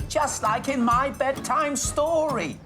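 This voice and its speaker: A man's voice: very high-pitched, slow pace, music in the background, pitched above the performer's own voice. A man voicing a cheerful, curious young boyish train engine with an eager, wide-eyed manner in a children's story. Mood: chuckling delight.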